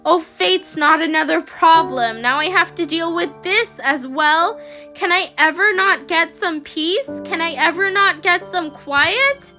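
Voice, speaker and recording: A woman's voice: very high-pitched, expressive, measured pace, sharp tone, soft background music, pitched above the performer's own voice, exaggerated voice. A woman voicing a cruel, scornful mistress who shrieks and berates. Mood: cold scorn.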